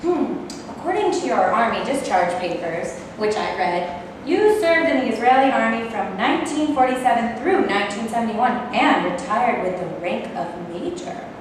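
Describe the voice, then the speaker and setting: A woman playing a sharp-tongued, confrontational interrogator who mocks and goads, in a staged reading of a play. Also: fairly high pitch, expressive, moderate pace, natural voice. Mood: sardonic. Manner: mocking, confrontational. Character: sharp-tongued woman prosecuting and goading both men. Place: reverberant indoor hall, staged reading. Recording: echoey hall from far off, noisy.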